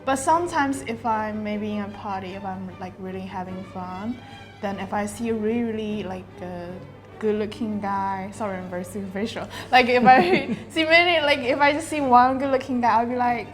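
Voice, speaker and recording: A woman from China chatting casually, playful and quick to giggle. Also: medium pitch, faint music behind. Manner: giggly, playful, self-teasing. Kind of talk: casual chat.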